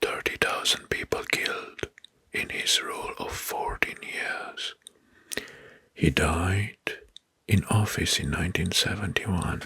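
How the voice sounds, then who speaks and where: A man narrating facts as an ASMR host in a hushed, breathy whisper, deliberately repeating phrases. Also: low-pitched, wildly swooping pitch. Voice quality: breathy, mostly unvoiced whisper.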